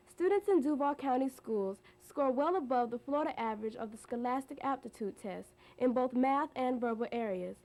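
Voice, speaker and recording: A woman with a high voice delivers scripted narration as a presenter. She reads prepared lines. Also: a little mellow, poor audio.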